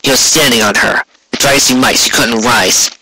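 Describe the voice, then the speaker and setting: A man's voice: mid-range voice, moderate pace. Man narrating a story, noisy recording. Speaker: fan fiction audiobook reader.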